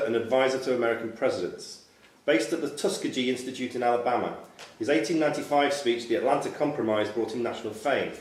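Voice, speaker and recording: Male quiz host, quick delivery, questions read aloud in a heavily echoing room, off the mic. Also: medium pitch. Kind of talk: live quiz question reading. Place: club room at a live quiz tournament. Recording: very echoey hall, distant mic.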